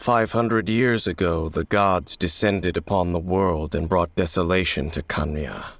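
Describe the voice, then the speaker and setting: Low, gravelly, solemn warrior. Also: male voice, a bit bright. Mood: solemn, bitter. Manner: measured, solemn, formal. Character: grave, world-weary, knowing male warrior from a fallen nation. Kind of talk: dramatic video game dialogue.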